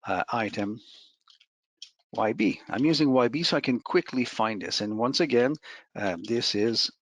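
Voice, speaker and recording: Man giving a dry-recorded software demo. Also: medium pitch, measured pace, no echo. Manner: conversational, step-by-step explaining. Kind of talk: informal screen-share software walkthrough.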